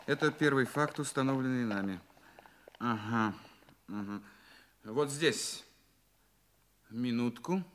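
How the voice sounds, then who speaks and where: An actor playing a shrewd, self-assured male detective in a radio play, musing deliberately and courteously, often repeating his words. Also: mid-range voice, a bit bright, a little hiss or hum, close to an everyday voice. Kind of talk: Russian radio play acting.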